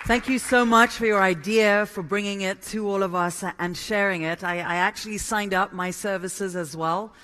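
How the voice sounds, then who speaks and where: A man's voice: high-pitched, steady tone, moderate pace, hardly any pauses, pushed and bright, smooth, some chatter behind. A man from Kenya hosting a live event and announcing a break, with small restarts. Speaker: event host.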